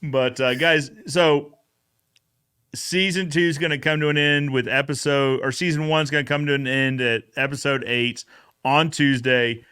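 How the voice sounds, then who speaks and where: Bright, clear-voiced man hosting a chat. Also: mid-range voice, fairly even intonation, moderate pace. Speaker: show host. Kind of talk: casual recap chat, bantering.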